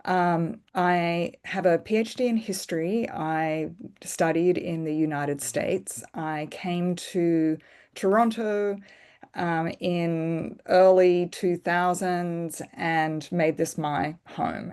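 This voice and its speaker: A middle-aged woman with an Australian accent gives an earnest, measured presentation on a video call. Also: on the low side, fairly even intonation, darkish tone, smooth. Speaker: guest presenter. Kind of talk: online presentation.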